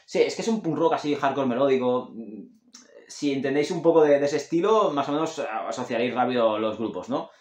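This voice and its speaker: A man's voice: mid-range voice, fairly quick. A man, chatting casually and rambling enthusiastically as he recommends music to the camera at his desk. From Catalonia, Spain. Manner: rambling, enthusiastic, self-correcting, gestures with his hands. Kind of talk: casual chat. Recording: roomy echo in a home room.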